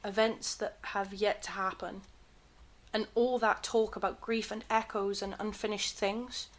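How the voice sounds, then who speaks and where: Woman acting a shaken survivor lady in an audio drama, intense, earnest, kinda defiant, mid pitched voice. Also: level tone, unhurried, close to an everyday voice. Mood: searching, insistent.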